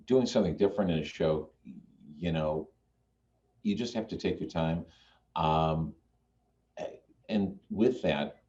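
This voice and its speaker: A man with a deep, full radio voice, chatting as a guest on a video call in an easygoing, rambling way. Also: mellow. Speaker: guest magician. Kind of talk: casual chat on a video call. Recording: dull lo-fi video-call audio.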